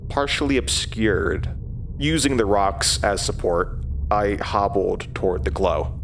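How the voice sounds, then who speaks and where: Horror audio drama lead: haunted first-person narrator, lowish voice, measured and hesitant. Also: male voice, noisy background. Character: haunted man recounting his horrifying past.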